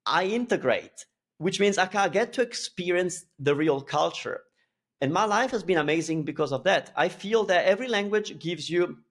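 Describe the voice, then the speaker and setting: Male guest, laid-back and chatty, somewhat high-pitched. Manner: easygoing, relaxed, thoughtful with frequent restarts. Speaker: guest, language school founder. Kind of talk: casual interview talk.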